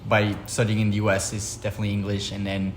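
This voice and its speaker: Young belgian guy with a dutch accent, halting casual chat, lowish voice, echoey room. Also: completely flat delivery. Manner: hesitant, repeats words and uses many fillers. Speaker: guest. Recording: echoey room with faint background noise.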